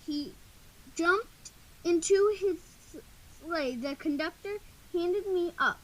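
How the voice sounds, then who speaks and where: A woman's voice: very high voice, slow pace, fairly bright tone, rough recording. A teenage girl reading scripted storybook narration in a school radio play.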